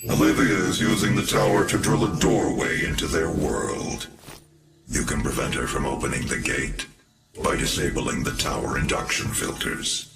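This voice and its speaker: A man voicing a coldly authoritative cyborg research director in a video game, speaking in a measured, imperious way with a deep, gravelly, processed voice. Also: steady tone, over loud music. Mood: urgent instructing. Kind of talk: scripted video game cutscene acting.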